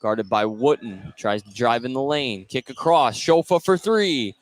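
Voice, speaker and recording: A man calling live play-by-play of a high school basketball game for radio. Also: animated, a little slow. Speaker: play-by-play commentator. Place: courtside at an indoor basketball game.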